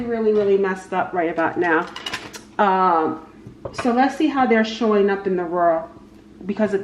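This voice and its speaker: A woman giving a casual, unscripted tarot reading, speaking haltingly and repeating her phrases as she goes. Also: medium pitch. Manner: halting, repeats phrases, talks to spirit and cards. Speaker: tarot reader, channel host. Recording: echoey room with faint background noise.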